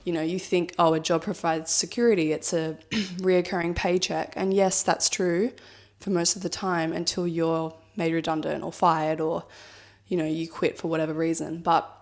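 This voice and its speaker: Woman, 30s, lowish voice, husky with a cold, reflective vlog chat, rambling, lots of fillers. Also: little pitch movement, normal speed. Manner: thoughtful, rambling, many "like" and "I guess" fillers. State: has a cold, slightly husky. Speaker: vlogger. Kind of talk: reflective personal vlog talk.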